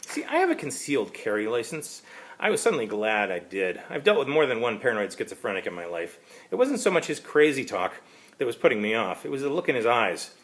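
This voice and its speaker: A man giving an amateur reading of a first-person story, narrating in a halting, wry way. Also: mid-range voice, sing-song, a bit bright. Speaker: author reading his own story.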